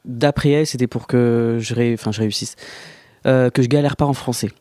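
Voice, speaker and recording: A man in his twenties from Réunion, a podcast guest speaking casually and hesitantly with many pauses. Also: mid-range voice, fairly even intonation, mellow, no echo, studio quality. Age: 20s. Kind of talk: casual conversation.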